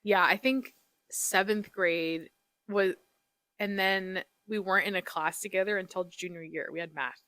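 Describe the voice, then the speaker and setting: A woman's voice: medium pitch, measured pace, fairly bright tone, dry recording. A young woman in a casual chat with a close friend, telling stories in a giggly, self-deprecating way. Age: young adult. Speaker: podcast host.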